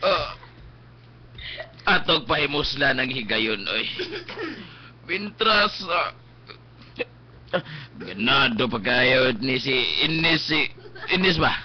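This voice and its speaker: A man's voice: medium pitch, lively intonation, slow, pauses often. An actor playing a carefree young man out with friends in a Philippine radio drama, joking and exclaiming with a Cebuano accent. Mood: tipsy banter. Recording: dull lo-fi webcast with noise.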